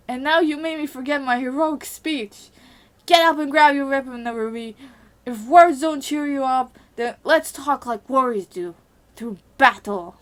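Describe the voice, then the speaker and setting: A woman voicing a brash, fiery young woman warrior who is excitable and quick to shout. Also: high-pitched, pitched above the performer's own voice.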